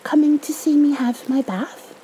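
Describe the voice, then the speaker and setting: A small boy from a storybook, played by a woman, earnest and full of questions. The voice is soft and slightly high, unhurried, with a little creak and faint noise behind it. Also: fairly even intonation, natural voice, poor audio. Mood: hopeful. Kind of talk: storybook read-aloud.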